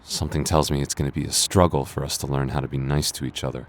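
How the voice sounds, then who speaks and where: A man's voice: rumbling low, little pitch movement, fast talker, a little hiss or hum. A man playing a teasing, easily embarrassed childhood friend confessing love in a boyfriend roleplay, stammering and hesitant. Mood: tender, hesitant. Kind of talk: boyfriend roleplay audio drama.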